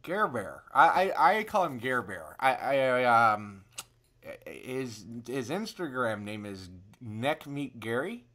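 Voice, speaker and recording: A man in his thirties with very lively intonation, chatting into his phone on a prank call. He rambles and hesitates, playing up the awkwardness as he goes. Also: mid-range voice. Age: 30s. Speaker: prank caller and livestream host. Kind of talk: improvised prank call, casual chat.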